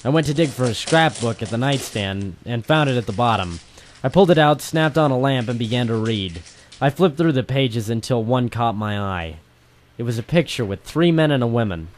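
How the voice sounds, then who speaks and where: A man's voice: mid-range voice, expressive, moderate pace, lightly acted. A man playing a hardboiled private eye, narrating dramatically and wryly in the style of an old-time noir radio drama. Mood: wry, watchful. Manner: wry, dramatic first-person storytelling. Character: hardboiled male private eye narrating his own case.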